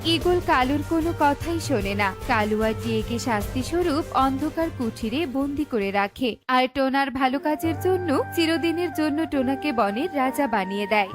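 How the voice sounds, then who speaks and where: A woman's voice: sits high, animated, moderate pace, few pauses. A woman giving scripted narration for a children's cartoon story, with music behind her.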